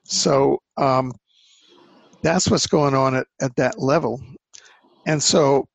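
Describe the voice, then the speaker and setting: A man talking earnestly in a casual conversation, halting and rambling as he explains things. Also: mid-range voice, gravelly, no room sound. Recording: dull lo-fi dry sound.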